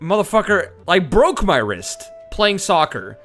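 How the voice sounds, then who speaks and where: A man streaming a game with high, bright speech at an unhurried pace. He jokes along casually in an animated, sweary, mock-dramatic way. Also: faint music behind. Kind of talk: casual joking game commentary.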